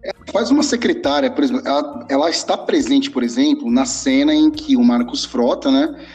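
A man's voice: medium pitch, fairly quick, a little mellow, soft background music. A man from São Paulo, chatting casually and rambling as a podcast co-host, swearing freely. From São Paulo, Brazil. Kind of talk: casual chat.

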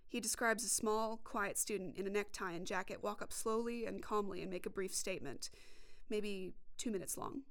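A woman's voice: medium pitch, moderate pace. A young woman reporting and narrating a scripted documentary podcast.

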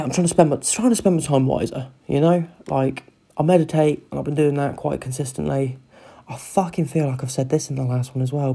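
A man with a croaky voice, rambling through a sweary, casual solo chat as a podcast host. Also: medium pitch, warm tone. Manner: rambling, sweary, loses his train of thought.

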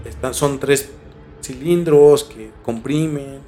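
A Mexican man hosting a podcast, telling things in an informal, halting way with some mild swearing. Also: medium pitch, warm tone, soft background music. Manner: informal, halting, mildly profane. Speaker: podcast host. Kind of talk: casual storytelling discussion.

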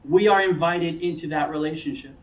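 A man's voice: fairly high pitch, steady tone, a little fast. A man preaching a sermon as a pastor, teaching conversationally and earnestly, repeating phrases for emphasis. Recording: roomy, distant mic, dull sound.

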